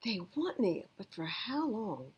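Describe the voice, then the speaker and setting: A woman voicing a wry, plaintive storybook dog, animated pitch. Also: unhurried, husky, highs cut off, heavily acted. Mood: resigned, wry. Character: wry, plain-spoken, thoughtful male rescue dog.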